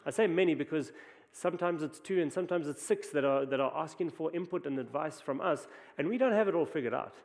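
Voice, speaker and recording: A man's voice: a little high, fast, few pauses, darkish tone. A man with a South African accent, preaching a conversational sermon with frequent restarts. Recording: long hall reverb.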